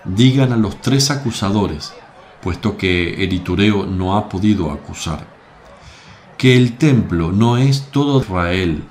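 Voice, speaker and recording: A man voicing a grave, calm teacher figure in a radio play. His voice is fairly low and unhurried, and every phrase comes out solemn and deliberate. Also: a little hiss or hum. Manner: slow, solemn and measured. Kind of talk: radio drama acting.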